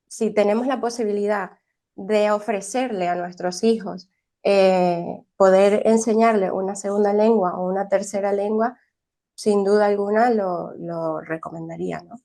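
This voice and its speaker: A woman's voice: mid-range voice, steady tone, a little slow, creaky, mellow. A young woman who teaches early childhood education, from Venezuela, answering interview questions thoughtfully with frequent restarts and tag questions.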